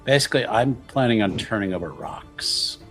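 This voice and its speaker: A man's voice: fairly low pitch, lively intonation, normal speed, a bit bright, faint music behind. A man playing a discreet, shrewd investigator who speaks in a hesitant, musing, formal way. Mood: measured.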